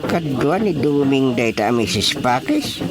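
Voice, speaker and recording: A Filipino actor playing a nosy, excitable village chairman in a radio comedy drama, full of exclamations and repeated interjections. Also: medium pitch, slow pace, crowd noise. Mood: muttering, teasing.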